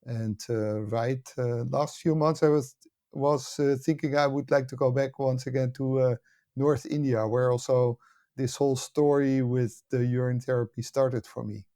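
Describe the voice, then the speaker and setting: Man, Dutch accent, mellow voice, measured pauses, explanatory interview answers. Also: mid-range voice, fairly even intonation. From Netherlands. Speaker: podcast guest, academy director and author.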